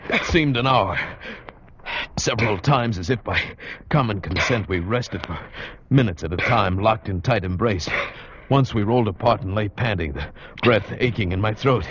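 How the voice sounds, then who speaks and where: An actor playing an adventurer who narrates his own ordeal in a dramatic, halting, suspenseful radio style. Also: lowish voice, a bit bright, a little hiss or hum, close to an everyday voice. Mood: dazed, suspenseful. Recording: dull old radio broadcast recording.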